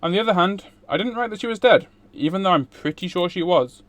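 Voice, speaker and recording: A man's voice: sits high, quick pace, no room sound. A man streaming a game, reading a visual novel aloud.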